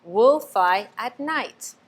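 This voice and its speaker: A woman's voice: on the high side, lively intonation, extremely slow, breathy edge, exaggerated voice. A woman voicing a sweet timid young bat girl. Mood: eager.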